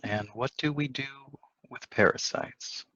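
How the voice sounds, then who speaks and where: Man acting as husky, calculating husband, muffled recording. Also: mid-range voice, a little slow, a bit bright, dry recording. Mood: cold, calculating. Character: coldly calculating newlywed husband plotting murder. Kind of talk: table reading of a stage play.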